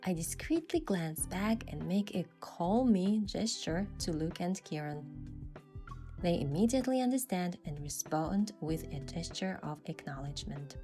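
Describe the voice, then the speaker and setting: A woman playing a gentle, thoughtful young heroine, narrating her game story softly and in measured scripted first person. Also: fairly low pitch, lively intonation, faint music behind.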